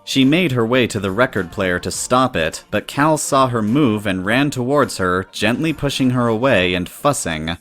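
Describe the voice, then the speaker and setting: A man's voice: mid-range voice, lively intonation, normal speed, few pauses, fairly bright tone. A man reading scripted story narration in the third person, as the narrator of an audio drama.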